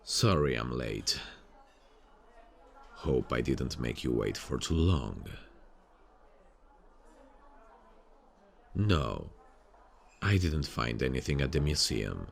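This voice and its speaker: Very deep, gravelly, weary detective, acted by a man. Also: sing-song, slow pace, long pauses, slight background noise, full character voice. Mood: casual, inquiring. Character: weary, haunted male detective hunting a serial killer. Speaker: ASMR roleplay voice actor. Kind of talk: ASMR roleplay, intimate dramatic acting.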